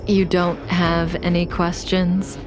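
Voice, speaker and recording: A woman's voice: on the low side, flat delivery, takes it slowly. A woman voicing a cool, mysterious, teasing manipulator in a video game cutscene, composed and in no hurry. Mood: composed, explanatory. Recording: music bed behind the voice.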